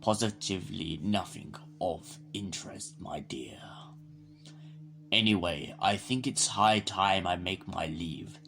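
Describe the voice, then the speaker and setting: A man playing a dry, imperious Lord President of the Time Lords in an audio drama, formal, measured and patronising. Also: low-pitched, vocal fry, a bit bright, a little hiss or hum, exaggerated voice. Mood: cold, dismissive.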